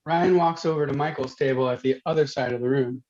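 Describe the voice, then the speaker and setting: A man's voice: medium pitch, fairly quick, a little mellow. A man reading stage directions as scripted narration at a comedy table read. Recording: dull lo-fi online call.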